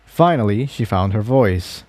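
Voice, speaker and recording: A man's voice: fairly low pitch, lively intonation, measured pace, a little mellow, no room sound. A man narrating a written adventure story as a reader of fan fiction.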